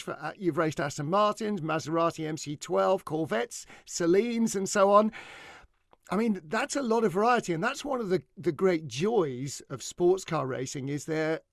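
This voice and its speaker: A man's voice: sits high, no room sound, studio quality. A man hosting a conversational motorsport interview, speaking fluently with emphatic lists. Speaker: talk show host.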